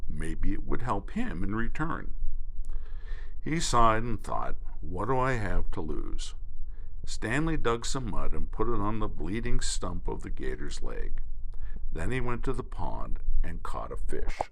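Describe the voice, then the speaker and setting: A man reads a children's story aloud slowly and dramatically. His low voice swings widely in pitch as he narrates, over faint background noise. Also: sing-song, gravelly, fairly bright tone, low-quality recording. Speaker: storybook reader.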